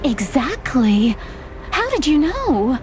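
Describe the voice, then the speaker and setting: Polite noir lady, higher-pitched. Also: sing-song, slow pace, exaggerated voice. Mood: polite, coy. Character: polite, refined female client in noir mystery. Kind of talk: scripted noir game dialogue. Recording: music behind the voice. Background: music.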